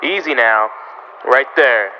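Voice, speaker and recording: A man playing a brisk, businesslike workman in radio comedy style. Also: mid-range voice, lo-fi sound, slight background noise, natural voice. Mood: busy, directing. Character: brisk, businesslike workman moving store racks.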